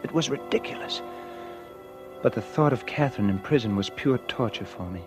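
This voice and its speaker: A man's voice: medium pitch, expressive, moderate pace, close to an everyday voice. An actor playing a guilty, scheming man who confides his crime in 1940s radio suspense style. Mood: anxious, distressed. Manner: confessional first-person narration. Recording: vintage 1940s radio with loud music.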